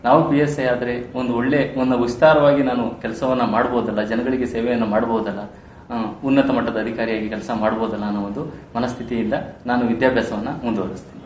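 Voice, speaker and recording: Male, thirties, Karnataka Kannada accent, mid-pitched, earnest and formal podcast guest, echoey room. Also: little pitch movement, fairly quick, a little mellow. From Karnataka, India. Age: 30s. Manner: earnest, formal phrasing with English terms mixed in. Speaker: interview guest, police sub-inspector probationer. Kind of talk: podcast interview, personal storytelling. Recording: roomy echo, faint background noise.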